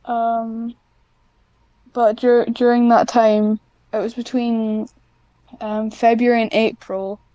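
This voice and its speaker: A woman's voice: a little high, level tone, takes it slowly, long pauses, dark tone, clear, resonant tone, no room sound, lo-fi sound. A woman chatting casually as an interview guest, speaking in a hesitant, self-conscious way with frequent restarts. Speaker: podcast guest, scriptwriter.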